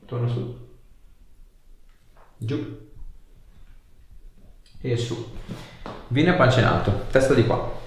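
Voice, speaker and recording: A man, an osteopath giving brisk short directions and questions to a patient in a treatment room. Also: mid-range voice, expressive. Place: small treatment room, indoors. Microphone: camera a few metres away. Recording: roomy echo, distant mic, faint noise.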